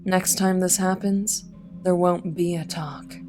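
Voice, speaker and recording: A woman's voice: on the low side, fairly even intonation, over music. A woman playing a cold, menacing mercenary boss in an audio drama, condescending and mockingly polite. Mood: cold menace. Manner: deliberate, condescending, breathy at times.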